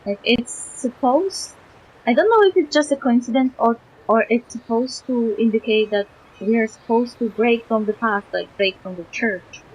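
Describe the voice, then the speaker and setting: A woman streamer giving casual live game commentary, musing and halting as she thinks aloud. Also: a little high, slight background noise, rough recording.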